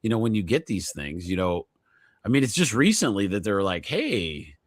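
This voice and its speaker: A man's voice: lowish voice, sing-song, moderate pace, dry recording. A man hosting a podcast as a chiropractor, chatting casually with a guest, laughing easily and using lots of fillers. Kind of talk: casual interview chat.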